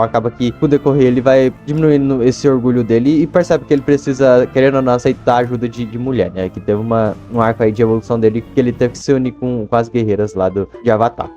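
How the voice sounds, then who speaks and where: A man's voice: medium pitch, warm tone, muffled recording. A young man with a Brazilian accent giving casual, slangy, chatty commentary as a video host.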